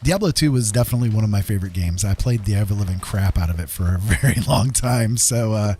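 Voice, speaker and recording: A man's voice: lowish voice, fast talker, mellow, a little hiss or hum. A middle-aged man livestreaming a game, chatting casually in a friendly, musing, polite way. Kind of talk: casual chat while gaming.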